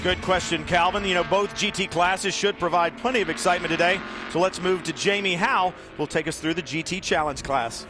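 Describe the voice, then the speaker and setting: Male race play-by-play host, high pitch, smooth hand-offs, excited calls, music bed. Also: fairly quick. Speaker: lead play-by-play announcer. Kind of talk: race broadcast commentary.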